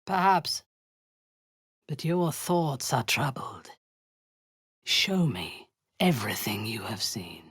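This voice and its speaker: An actress playing a cold, imperious female drow warlord in a video game, commanding and menacing, sometimes dropping to a husky whisper. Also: rumbling low, very animated, slow, pauses often, fairly bright tone, no room sound, heavily acted.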